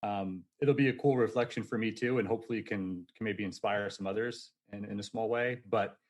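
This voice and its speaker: Upbeat forty-year-old guest, laughing often. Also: male voice, on the low side, brisk. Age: 40s. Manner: energetic, laughs easily, casual. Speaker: podcast guest. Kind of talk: casual storytelling interview.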